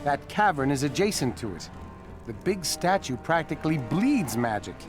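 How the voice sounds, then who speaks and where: A man playing an excitable, brusque inventor in a fantasy game, speaking emphatically. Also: on the high side, normal speed. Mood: urgent, intent. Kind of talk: dramatic fantasy game acting. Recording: music behind the voice.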